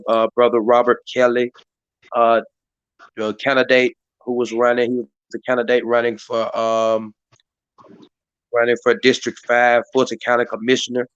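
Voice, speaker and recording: A hoarse man hosts a community live stream in a rambling way, full of fillers and restarts. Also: mid-range voice, a bit bright, dry recording, muffled recording. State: hoarse, voice partly lost. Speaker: community activist hosting a live stream meeting. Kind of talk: casual hosted talk. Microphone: live stream mic.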